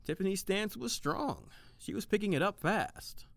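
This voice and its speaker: A woman playing a soft-boiled detective, narrating the case in measured, descriptive first person for an audio drama. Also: mid-range voice, expressive, gravelly, no echo, studio quality. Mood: measured, observant.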